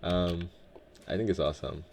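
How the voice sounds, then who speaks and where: A man from Guyana hosting a relaxed game let's play, talking in a laid-back, reflective way with many filler words. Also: low-pitched, moderate pace, vocal fry, slight background noise. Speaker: Minecraft let's play host. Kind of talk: relaxed gameplay commentary.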